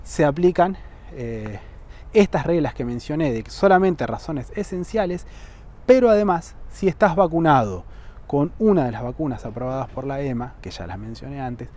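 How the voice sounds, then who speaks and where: Man, thirties, Rioplatense Spanish accent, travel vlogger, outdoor lapel mic, lively explanatory tone. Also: mid-range voice, moderate pace. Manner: checks in with frequent "¿sí?" tags, lively emphasis. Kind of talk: explanatory travel vlog to camera. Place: outdoors on a lawn by buildings, sunny.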